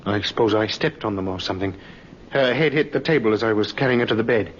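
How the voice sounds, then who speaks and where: An actor playing an evasive farmer accused of murder in an old radio drama, halting and protesting. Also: male voice, fairly low pitch, lightly acted. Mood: halting, distressed. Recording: muffled, noisy vintage radio recording.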